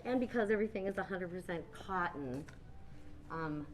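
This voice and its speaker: A woman's voice: fairly low pitch, expressive, moderate pace. A woman casually presenting products in a demonstration. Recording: clear background noise.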